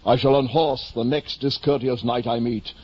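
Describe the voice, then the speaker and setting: An actor playing a delusional, grandiose gentleman knight near fifty, speaking lofty archaic English in a 1950s radio drama. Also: medium pitch, steady tone, normal speed, husky, lightly acted. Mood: pompous, planning. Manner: lofty, archaic, pompous speech. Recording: muffled vintage 1950 radio broadcast.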